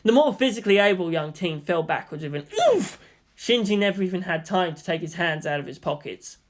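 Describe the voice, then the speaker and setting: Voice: mid-range voice, lively intonation, a little fast. A narrator reads fiction aloud in a mid-range voice. The delivery is scripted and dramatic, in audiobook style.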